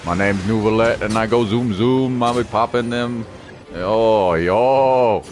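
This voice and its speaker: A woman's voice: a bass voice, slow, music in the background. A woman giving casual, playful gameplay commentary, full of slangy reactions. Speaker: gamer streaming a playthrough.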